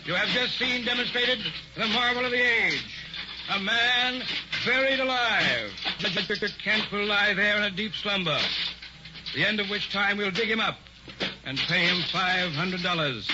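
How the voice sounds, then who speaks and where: An actor playing a smooth, sinister male carnival hypnotist and showman in a 1940s radio drama, with oily, theatrical barker patter. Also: high-pitched, unhurried, heavy background noise. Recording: dull old radio recording with music.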